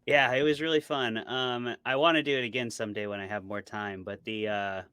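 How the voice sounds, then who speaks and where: A man's voice: mid-range voice, steady tone, fairly quick, sharp tone, clean tone, dry recording. A man being interviewed as an author, talking in a casual, explanatory and conversational way. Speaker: interviewed author, podcast co-host.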